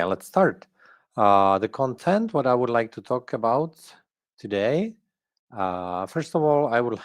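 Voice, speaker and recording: A man's voice: on the low side, no echo. A middle-aged man from the Czech Republic with a Czech accent, speaking English as a second language and giving an academic presentation carefully and hesitantly, with many fillers. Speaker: guest lecturer.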